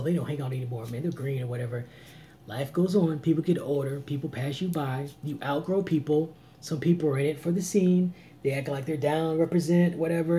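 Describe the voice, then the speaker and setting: A man in his late thirties giving earnest, preachy relationship advice on a live vlog, swinging between loud emphasis and low murmurs. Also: a little hiss or hum. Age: 30s. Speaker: vlogger. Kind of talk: live-stream advice chat.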